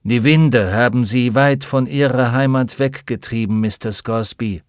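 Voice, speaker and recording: A man reading an audiobook, voicing a gaunt older male shaman and scientist who speaks in a calm, measured and hospitable way. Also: mid-range voice, no room sound, studio quality, close to an everyday voice. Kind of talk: audiobook character voice.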